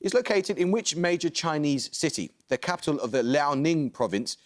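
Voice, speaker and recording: A man hosting a student quiz show, reading questions and giving rulings in a crisp, brisk manner. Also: fairly high pitch, expressive. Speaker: quizmaster.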